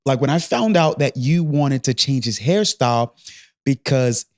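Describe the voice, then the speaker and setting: A man in his thirties co-hosting a podcast, talking in a playful, rambling way and pausing to search for words. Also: mid-range voice, lively intonation, darkish tone. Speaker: podcast co-host. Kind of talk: casual chat.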